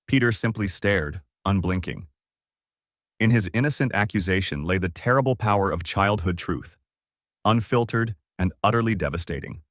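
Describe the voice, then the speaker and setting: A man with a fairly low voice reads a dramatic story in a very dry, close recording. His delivery is solemn and builds suspense, like a scripted narrator telling a tense tale. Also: a bit bright, no room sound.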